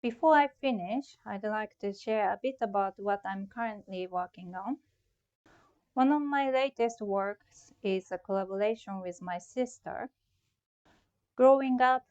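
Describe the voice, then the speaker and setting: A woman's voice: medium pitch, unhurried, darkish tone, highs cut off. A middle-aged woman from Japan with a Japanese accent, giving a prepared, reflective talk about her own art. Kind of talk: prepared artist talk presentation.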